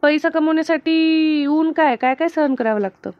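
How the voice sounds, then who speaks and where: Woman voicing a high-voiced village friend, dull recording. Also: moderate pace, natural voice. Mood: advising. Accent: rural Maharashtrian. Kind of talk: animated cartoon comedy dialogue.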